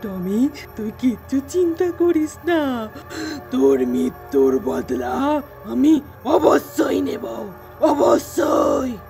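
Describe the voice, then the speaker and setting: A man voicing a grieving, vengeful dog owner in a cartoon, anguished and shouting. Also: very high voice, big pitch swings, slow, vocal fry, soft background music, full character voice.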